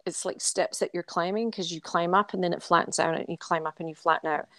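Low-voiced Scottish woman co-hosting. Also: steady tone, fast talker, fairly bright tone, no room sound. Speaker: endurance coach and podcast co-host. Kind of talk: casual conversational podcast talk.

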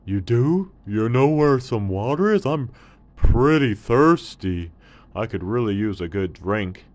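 A man's voice: mid-range voice, expressive, a little slow, a little mellow, pitched above the performer's own voice, natural voice. A man voicing a big, good-natured camel in a children's story, speaking in a polite, plain and slightly hesitant way. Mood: surprised, hopeful.

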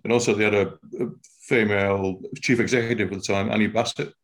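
Low-voiced Scouse man telling anecdotes. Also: quick pace, rough recording. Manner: relaxed anecdotal, frequent "you know". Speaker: TV writer and guest. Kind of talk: casual interview.

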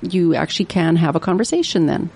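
A woman's voice: fairly low pitch, animated, a little fast, creaky, a little mellow, a little hiss or hum. A woman hosting a radio interview in a studio, talking hesitantly with many fillers and self-deprecating asides. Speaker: radio show host.

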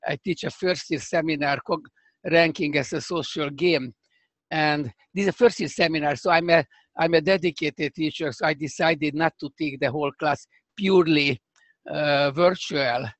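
An older man with a Hungarian accent, speaking as a professor guest in a remote interview, halting and restarting as he searches for words. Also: a little high, fairly even intonation, fairly bright tone, dry recording.

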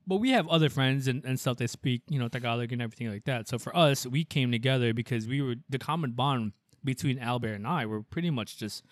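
A man's voice: mid-range voice, fast talker, hardly any pauses, no room sound. Young man chatting, mixing English and Tagalog. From United States. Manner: rambling, switches between English and Tagalog. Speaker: podcast co-host. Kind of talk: casual podcast chat.

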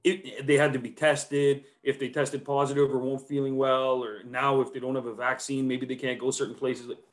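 A man's voice: medium pitch, little pitch movement, a little fast. A man co-hosting a podcast in casual chat, agreeing and then explaining his point in an engaged way. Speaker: podcast co-host.

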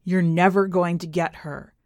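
A woman's voice: on the low side, fairly even intonation, a little slow, no room sound, clean studio sound. A woman narrator voicing a haunted, fearful man with a curt, bleak and angry manner in an audio short story.

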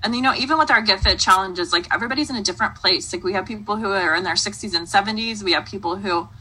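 A woman in her late thirties hosts a relaxed interview chat, rambling along with lots of likes and ums. Her voice is bright and forceful with a breathy edge. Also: medium pitch, rapid-fire, poor audio. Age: 30s. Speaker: host, interviewer.

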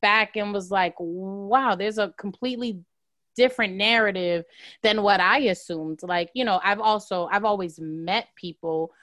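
A woman's voice: medium pitch, measured pace, fairly bright tone, no room sound, studio quality. A woman hosting a podcast interview, chatty and enthusiastic, peppering her speech with "like". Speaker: podcast host. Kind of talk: casual interview chat.

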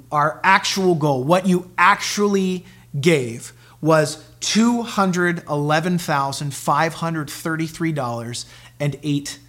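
A man speaking as pastor to a church congregation, halting and full of fillers and repeated words. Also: a little high, fairly bright tone. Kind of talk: sermon announcement to a congregation.

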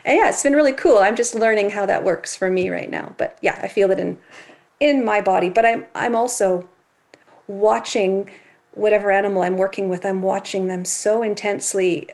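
A woman with a mid-pitched voice chatting casually. She talks in a lively, animated way. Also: moderate pace, vocal fry. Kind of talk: casual conversation.

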